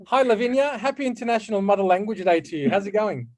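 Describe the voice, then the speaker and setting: A man's voice: high voice, expressive, quick pace. A man hosting a podcast interview, a native Turkish speaker who talks in English in a chatty way with frequent fillers. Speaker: podcast host. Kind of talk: casual interview chat.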